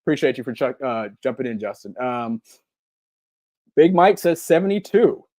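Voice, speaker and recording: A man's voice: medium pitch, expressive, moderate pace, a little mellow, no echo, clean studio sound. A man, co-hosting a live-stream podcast and reading chat comments in a rambling, upbeat way. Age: 40s. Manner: rambling and upbeat, full of ums and repeats. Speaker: podcast co-host.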